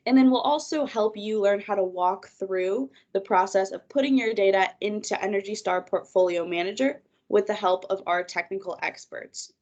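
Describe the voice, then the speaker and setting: A woman's voice: mid-range voice, normal speed, few pauses, darkish tone, dull audio. A woman, a project manager presenting a webinar over a video call, congested from a cold, guiding listeners through her slides in an organized way.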